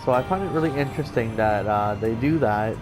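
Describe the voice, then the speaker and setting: A man's voice: fairly low pitch, brisk. Man casually rambling over game music. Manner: rambling, hesitant explanations. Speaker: let's player. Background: video game music and sounds.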